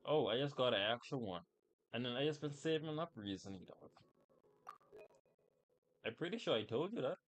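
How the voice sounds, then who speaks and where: A man's voice: medium pitch, lively intonation, moderate pace, long pauses. A man chatting casually on a gaming livestream in a slangy, informal way, talking to his viewers. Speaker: game livestreamer.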